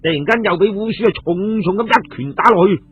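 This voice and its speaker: A man with a Cantonese accent narrating an adventure story in a theatrical, expressive way. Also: high voice, quick pace, husky. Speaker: storyteller narrating an audio novel. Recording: tinny radio-like recording, music behind.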